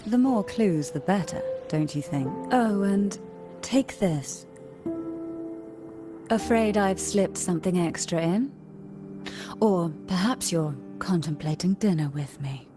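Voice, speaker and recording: Game role: mysterious noblewoman, lowish voice, measured and coy, music underneath. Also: expressive. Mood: coy, teasing. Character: poised, teasing, mysterious noble family matriarch. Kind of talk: video game voice acting.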